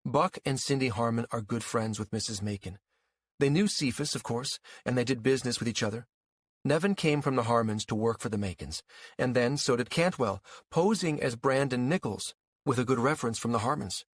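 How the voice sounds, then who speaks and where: A man's voice: medium pitch, a little fast, dry recording, studio quality, natural voice. A man voicing a matter-of-fact woman in an audiobook story, explaining things with assurance. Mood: assured, revealing. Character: matter-of-fact woman revealing inside information.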